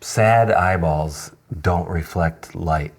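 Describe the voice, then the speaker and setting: A man in his early fifties hosting a casual interview, informal and blunt, with halting phrasing. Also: sits low. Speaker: podcast host.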